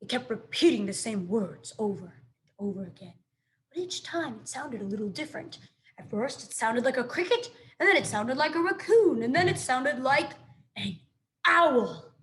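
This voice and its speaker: A woman's voice: on the high side, lively intonation, moderate pace, fairly bright tone. A woman voicing an alarmed, suspicious owl who makes dramatic announcements in a children's story. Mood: ominous. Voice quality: screechy, emphatic. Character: alarmed, suspicious owl, hooting leader of a crowd.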